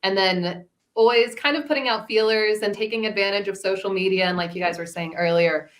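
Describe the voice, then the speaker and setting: A woman's voice: mid-range voice, steady tone, fairly quick. A woman voice actor giving casual, practical advice on a panel in a matter-of-fact way.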